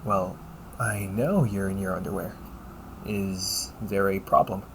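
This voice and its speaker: A man voicing an upbeat, teasing AI companion in an audio roleplay, polite and fussily helpful, with a gently nagging manner. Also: low voice, steady tone, a little slow, creaky, noisy background. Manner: polite, chirpy, gently nagging, butler-like phrasing. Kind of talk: scripted audio roleplay addressed to the listener.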